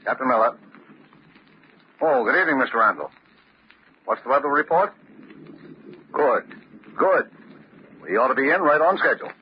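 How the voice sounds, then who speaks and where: A man's voice: medium pitch, animated, moderate pace, long pauses, natural voice. A man acting a businesslike ship's captain in an old-time radio drama, authoritative and reassuring. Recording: dull, noisy old radio recording.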